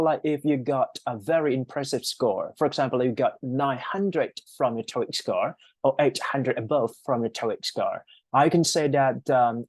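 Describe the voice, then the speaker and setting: A man's voice: mid-range voice, dry recording. A man from Thailand with a Thai accent, a native Thai speaker, teaching an English class online and often checking in with short tag questions. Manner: checks understanding with frequent "right?" tags. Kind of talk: online class lecture explaining an exam task.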